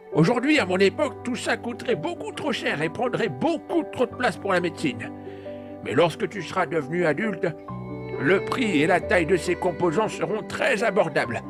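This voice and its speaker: A performer playing a gentle, solemn grandfather who explains patiently and earnestly in an audio drama. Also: mid-range voice, natural voice. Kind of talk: French audio drama acting. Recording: music behind the voice.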